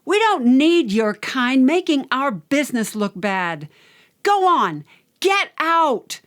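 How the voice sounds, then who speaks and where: A woman putting on a shrill angry restaurant woman. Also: sing-song, slow, a bit bright, heavily acted. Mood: threatening. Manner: scolding, threatening. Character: angry female restaurant worker shooing off kids.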